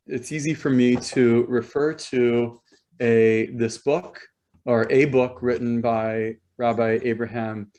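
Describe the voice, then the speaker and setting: A man hosting as rabbi on a video call, speaking slowly and deliberately and often stopping to restart his sentences. his pitch sits right in the middle for a man, and the sound is plain video-call audio. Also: darkish tone. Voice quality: halting, many ums and repeated words. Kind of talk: hesitant spoken introduction.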